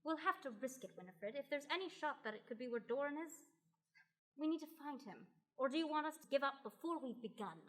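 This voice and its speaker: A woman's voice: sits high, expressive, fairly quick, fairly bright tone. A young woman playing an impatient, skeptical and determined female adventurer in a radio play, full of sarcastic retorts. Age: young adult. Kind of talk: scripted radio play acting.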